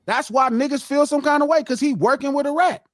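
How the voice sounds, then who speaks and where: A man's voice: extremely high pitch, fairly quick, dry recording, clean studio sound. A man hosting a podcast in a heated, casual debate, preaching his point emphatically and repeating himself for effect. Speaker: podcast host.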